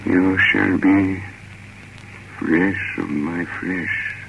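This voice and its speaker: An actor playing a sinister, commanding vampire in a solemn incantation, in old radio horror style. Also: male voice, deep voice, steady tone, extremely slow, creaky, exaggerated voice. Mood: menacing incantation. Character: sinister male vampire, slow, commanding and menacing. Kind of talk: 1930s radio horror acting. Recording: muffled, noisy old radio recording.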